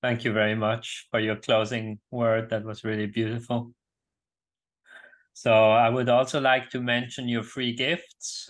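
A man's voice: mid-range voice, a bit bright. A man hosting an interview, politely closing it and reading out details of free offers. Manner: polite, formal, reads out details. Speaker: interviewer and event organizer.

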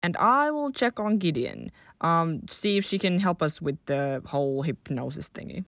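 A caring, placating friend in an audio drama, acted by a woman, reasons gently and a little hesitantly. The voice is slightly low but very animated in pitch, recorded dry. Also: normal speed, dry recording, exaggerated voice.

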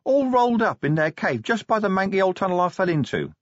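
A man's voice: high-pitched, very animated, no room sound, pitched above the performer's own voice. A man voicing a dim, scrawny, drug-addled young male troll who talks in a simple, eager way, in a dry, slightly dull recording. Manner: simple, eager, slow-witted.

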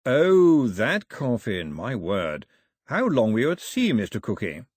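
A man puts on a plummy storybook king, genial and a little pompous. The delivery swings about in pitch, with a slightly husky edge. He is a fond father who is easily bewildered. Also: huge pitch swings, measured pace, a little mellow, no echo, studio quality, heavily acted.

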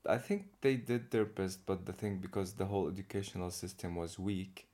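A man's voice: deep voice, steady tone, brisk, mellow. A young man from Saudi Arabia as an interview guest, chatting casually and laughing often as he tells stories. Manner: easygoing, laughs often while telling stories. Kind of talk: casual conversation.

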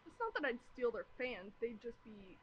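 A woman's voice: fairly high pitch, sing-song, normal speed, dull audio, a little hiss or hum. A woman guest in casual chat, rambling with lots of fillers.

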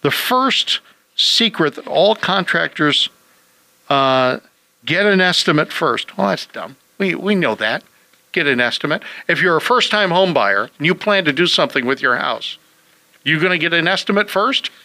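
A man's voice: fairly high pitch, lively intonation, normal speed, sharp tone, no echo, rough recording. A man hosting a home-improvement radio call-in show, chatting casually and cracking jokes. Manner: joking, wisecracking.

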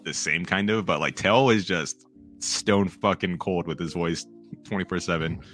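A man co-hosting a casual podcast, with a very deep voice, a fairly monotone delivery and frequent laughter. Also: normal speed, soft background music. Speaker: podcast co-host. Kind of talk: casual chat.

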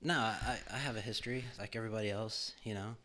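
A man's voice: on the low side, steady tone, quick pace, heavy background noise. A middle-aged man co-hosting a podcast in casual chat, joking and rambling with fillers, recorded in a home studio. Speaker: podcast co-host.

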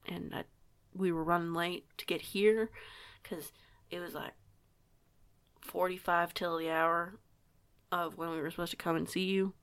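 Woman as young female werewolf, low creaky voice, hesitant, rambling, self-conscious, casual audio roleplay. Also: steady tone, measured pace, pauses often, fairly bright tone. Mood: rambling, sheepish. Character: eager, awkward young female werewolf pack newcomer.

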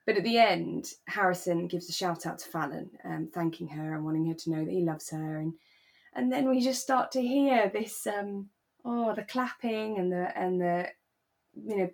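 A woman co-hosting a podcast, talking thoughtfully and in a relaxed way about a radio drama, repeating phrases for emphasis. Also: lowish voice. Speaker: podcast co-host.

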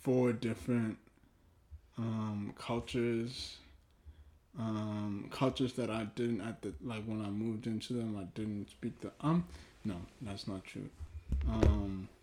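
A man's voice: fairly low pitch, steady tone, mellow. A man from Ghana whose mother tongue is Twi, hosting a casual, reflective podcast conversation in a hesitant, thoughtful manner. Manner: hesitant, thoughtful, trailing pauses. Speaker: podcast host.

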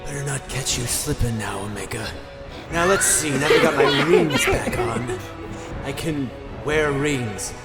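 A man voicing a brash, cocky male hedgehog who taunts the others, in a comedy game fan dub over loud game music. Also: mid-range voice, big pitch swings, measured pace.

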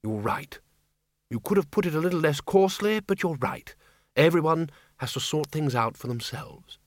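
A man voicing an elderly dead wizard who speaks softly and hesitantly, musing aloud. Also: high-pitched, lively intonation, slow pace, no room sound, voice pushed up, full character voice. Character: elderly dead male wizard, mild and thoughtful.

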